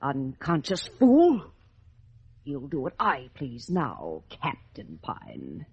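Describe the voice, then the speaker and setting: An actress playing a mocking, imperious sorceress who gloats theatrically in an old-time radio drama. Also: female voice, extremely low pitch, wildly swooping pitch, slow, a little mellow, breathy edge, no room sound, heavily acted. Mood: gloating, mocking. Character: sinister sorceress Lady Coralie, mocking and imperious. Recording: muffled old radio recording.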